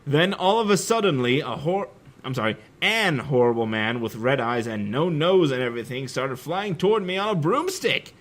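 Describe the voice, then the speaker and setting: A man's voice: mid-range voice, animated, moderate pace, fairly bright tone. A young man giving a comedic reading of fan fiction, breaking in with sarcastic, giggly asides. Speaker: reader.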